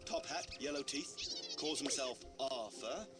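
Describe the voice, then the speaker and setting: A man playing a brisk, authoritative town guard, dutiful and upright, in a theatrical puppet show. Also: mid-range voice, lively intonation, close to an everyday voice. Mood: stern, commanding. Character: stern, dutiful male town guard, kindly to children. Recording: loud music behind.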